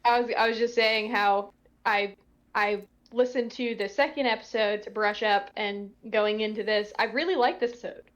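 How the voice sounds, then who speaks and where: A woman's voice: mid-range voice, steady tone, normal speed. A woman voice actor chatting casually in a group commentary, in a tentative, hedging way. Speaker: voice actor in an audio drama.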